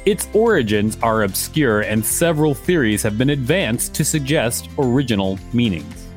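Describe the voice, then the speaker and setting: A man's voice: medium pitch, lively intonation, moderate pace, faint music behind. A man hosting a podcast, reading reference text aloud and adding casual commentary. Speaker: podcast host.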